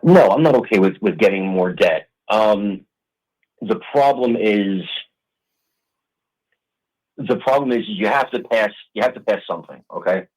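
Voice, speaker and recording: A man's voice: lowish voice, a little slow, long pauses, darkish tone, breathy edge, rough recording. A man in his forties, a blunt, streetwise guest arguing in a heated political debate. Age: 40s.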